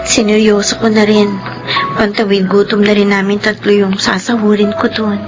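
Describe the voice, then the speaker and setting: A woman's voice: mid-range voice, flat delivery, normal speed, over music, lightly acted, low-quality recording. A young woman playing a hurt but determined daughter in a radio drama, earnest and plaintive. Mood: resolved. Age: young adult.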